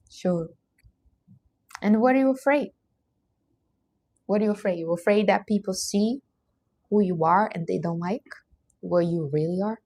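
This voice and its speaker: A woman's voice: on the low side, darkish tone, no room sound. A woman with a Portuguese accent, Portuguese her first language, giving an earnest, emphatic motivational talk while hosting a livestream. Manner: earnest, emphatic, non-native grammar. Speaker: livestream host.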